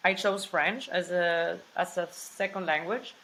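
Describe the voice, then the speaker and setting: A woman speaking English with a Mexican accent, her voice a little lower than most. She talks freely and with energy in a casual interview and circles back over her own words. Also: a little slow, poor audio. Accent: Mexican Spanish. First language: Spanish. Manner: animated and talkative, restarts and repeats words, fillers like "like". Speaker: actress, interview guest.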